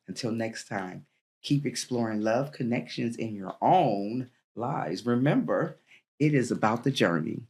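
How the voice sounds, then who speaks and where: Woman in her sixties hosting an interview. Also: rumbling low. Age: 60s. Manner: warm affirmations, reads closing remarks. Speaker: podcast host. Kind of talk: interview chat, then scripted outro.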